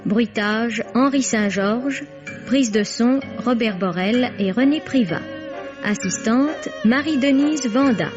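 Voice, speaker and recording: A woman announces a radio programme very slowly and solemnly, in formal scripted style. Her voice is fairly high. Music plays clearly behind her on a dull, vintage-sounding recording.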